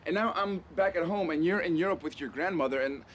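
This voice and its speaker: An actor playing a remorseful young husband in a television drama. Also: male voice, a little high, brisk, fairly bright tone, slight background noise, close to an everyday voice. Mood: bitter, self-blaming. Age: young adult. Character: remorseful young husband whose marriage has collapsed.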